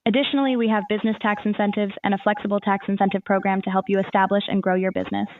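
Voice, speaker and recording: A woman's voice: medium pitch, level tone, very fast, dry recording, narrow, tinny audio. A woman's voice as an AI assistant, giving scripted, formal informational answers in a customer-service manner.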